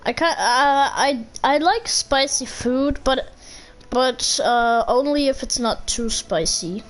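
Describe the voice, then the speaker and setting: A woman's voice: sits high, takes it slowly. A woman doing casual commentary on a game playthrough, with terse, repetitive reactions. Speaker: gaming streamer.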